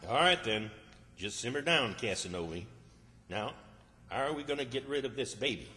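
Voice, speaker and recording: A man's voice: mid-range voice, expressive, normal speed, sharp tone. A man playing a flustered, bossy rural Southern character in old-time radio comedy, folksy and exasperated. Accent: American South, rural. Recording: echoey hall, long reverb.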